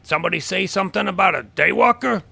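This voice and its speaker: An actor playing a shady, nosy drifter in an audio drama, sly and laconic with a gravelly voice. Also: male voice, high-pitched, animated, fast, pushed and bright, heavily acted. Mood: sly, probing. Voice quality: gravelly, creaky. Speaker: character actor.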